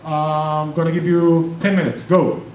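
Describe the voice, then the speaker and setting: Brief: Israeli man, middle-aged, casual seminar presenter, slightly high voice, recorded from afar in an echoey room. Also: little pitch movement, normal speed, a crowd in the background. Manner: chatty, self-interrupting, joking asides. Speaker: real estate investing presenter and group organizer. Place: meeting room with projector. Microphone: far camera. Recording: roomy echo, distant camera, faint noise.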